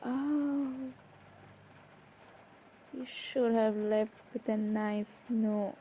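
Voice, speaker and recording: A woman's voice: fairly high pitch, steady tone, extremely slow, mellow, slight background noise. A woman playing a shy, flustered young woman in an improvised intimate romantic audio roleplay, stammering and hesitating as she talks. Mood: tender, wondering. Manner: hesitant, stammering, breaking off and repeating words.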